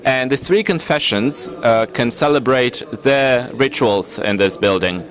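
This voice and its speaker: A man from Turkey whose first language is Turkish, giving a formal, measured panel presentation at a conference and sometimes searching for words. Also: mid-range voice. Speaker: panel speaker presenting his city. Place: conference hall.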